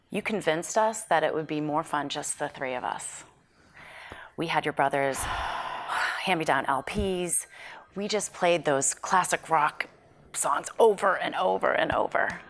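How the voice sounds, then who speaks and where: A woman acting as a guarded female TV psychologist in a stage drama read-through. Her voice sits on the low side, measured and a bit corrective. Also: fairly bright tone. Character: guarded woman television psychologist reminiscing with old college friends.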